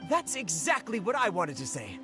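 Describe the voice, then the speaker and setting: A woman's voice: lowish voice, wildly swooping pitch, normal speed, music in the background. A woman playing an earnest, indignant townsperson in a fantasy video game, speaking emphatically. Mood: indignant, resolute.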